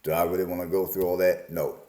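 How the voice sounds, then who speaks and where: A man's voice: deep voice, little pitch movement, quick pace, mellow, reverberant. A man in his forties from Alabama, musing aloud in a casual, plain-spoken vlog about his life. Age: 40s. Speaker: vlogger. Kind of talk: casual reflective monologue.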